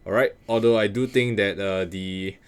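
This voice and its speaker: A man's voice: on the low side, steady tone, moderate pace, smooth. A man hosting a casual talk podcast, giving verdicts in wordy, mock-formal phrasing. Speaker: podcast host. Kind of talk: casual chat with review-style verdicts.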